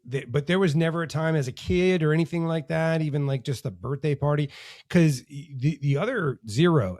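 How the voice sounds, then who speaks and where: A man hosting a podcast, recorded dry and close, with no room sound. He asks his questions haltingly, starting over again and again. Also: medium pitch, darkish tone, no room sound. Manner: halting, many false starts and restarts. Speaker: podcast host. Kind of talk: casual interview chat.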